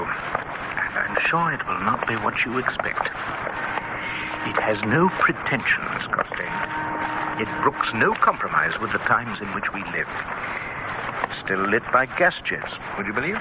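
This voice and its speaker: A man's voice: medium pitch, big pitch swings, a little slow, long pauses. An actor playing a refined male gourmet who speaks in a cultured, coaxing way. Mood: persuasive. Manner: cultured, silky and persuasive. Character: refined older male gourmet, persuasive and knowing. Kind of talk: radio drama acting. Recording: muffled old radio recording with heavy hiss.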